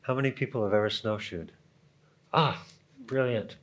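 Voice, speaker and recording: A Canadian-accented man talks on a conference panel in a relaxed, storytelling way. He drifts into asides and asks the audience questions. His voice sits at an ordinary middle pitch. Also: moderate pace. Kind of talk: conference panel talk, anecdotal. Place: conference hall.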